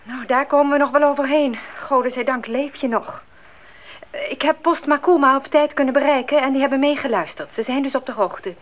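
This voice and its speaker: A woman's voice: sits high, a little fast. An actress playing a caring, capable woman who runs a mission post radio in a radio drama. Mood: relieved. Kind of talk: 1980s Dutch radio drama acting. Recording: narrow tinny radio sound.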